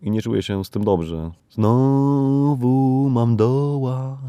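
A man's voice: mid-range voice, slow, dark tone, clear, resonant tone, dry recording, highs cut off. A man from Poland hosts a podcast, speaking off the cuff in an ironic, rambling commentary full of rhetorical tag questions. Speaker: podcast host. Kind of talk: unscripted spoken commentary, monologue.

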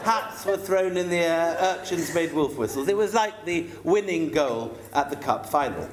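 A man's voice: fairly high pitch, animated, normal speed, crowd noise. A British man reading a humorous travel account aloud to an audience in a wry, amused, theatrical manner. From United Kingdom. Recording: echoey room with noticeable background noise.

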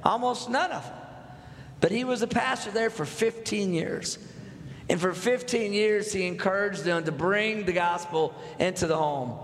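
Texan pastor guy preaching, kinda high voice, big echoey church hall. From North Texas. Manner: earnest, builds up with repeated phrases, asks the congregation questions. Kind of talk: sermon. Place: church sanctuary. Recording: echoey hall with long reverb.

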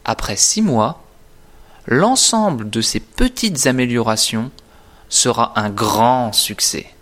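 Slow, deliberate male teacher. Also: mid-range voice, animated, fairly bright tone. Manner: deliberate, carefully articulated, didactic. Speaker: French teacher presenting own course. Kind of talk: scripted instructional narration.

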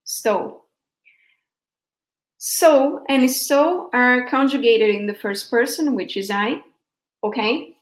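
A woman's voice: fairly high pitch, fairly bright tone. A woman from Brazil with a Brazilian Portuguese accent, giving a patient sample Portuguese lesson and checking in with her students often. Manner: patient, explains slowly, checks in with "okay?". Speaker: Portuguese teacher.

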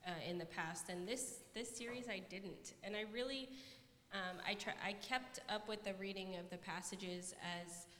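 A woman's voice: mid-range voice, moderate pace, fairly bright tone. Young woman with a South Dakota accent. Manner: candid, slightly self-conscious, hesitant fillers. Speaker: church member, student. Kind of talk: casual testimony on stage. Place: church sanctuary. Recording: echoey hall with faint room noise.

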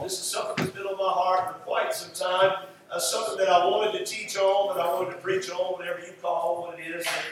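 A high-pitched male preacher teaches in a conversational sermon style, repeating phrases for emphasis as he goes. The sound is very roomy and echoing, picked up at a distance from the microphone. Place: echoey church room. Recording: roomy echo, distant mic, faint noise.

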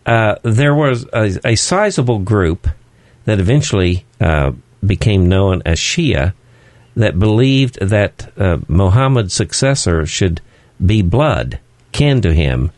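Deep-voiced man explaining slowly on radio. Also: sing-song, darkish tone, no room sound. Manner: slow, halting, many "uh" fillers. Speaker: studio guest. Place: radio studio.

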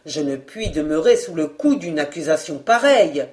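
Young reporter guy, lower voice, proud and huffy, a woman reading it. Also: lively intonation, moderate pace. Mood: indignant.

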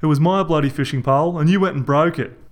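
A scornful, crude country man, as a male narrator puts him on. He jeers and curses in a raised, loud voice. His insults come out rough and mocking. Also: high voice, very animated, normal speed, voice pushed up. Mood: furious. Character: quick, scornful rural man, younger brother.

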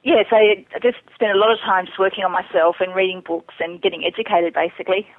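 A woman's voice: mid-range voice, fairly even intonation, fast talker, no echo. Woman on a thin phone-like line. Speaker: interview guest, trader. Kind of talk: casual interview conversation. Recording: thin narrowband phone or internet call.

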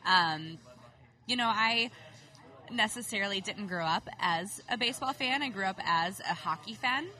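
A woman chatting as a guest on a casual podcast, animated and quick to laugh. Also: mid-range voice. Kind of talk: casual chat.

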